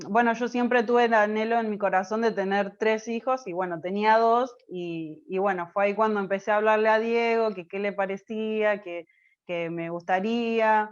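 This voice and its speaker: A woman from Argentina with an Argentine Spanish accent, telling a personal story as an interview guest, pausing often with fillers and restarts. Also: mid-range voice, dull audio. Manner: hesitant, many fillers and restarts. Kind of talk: personal testimony in conversation.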